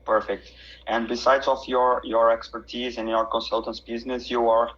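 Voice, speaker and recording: A man's voice: mid-range voice, level tone, normal speed, fairly bright tone, slightly rough, highs cut off. A man from Brazil hosting a live online interview, speaking hesitantly with frequent fillers and affirmations.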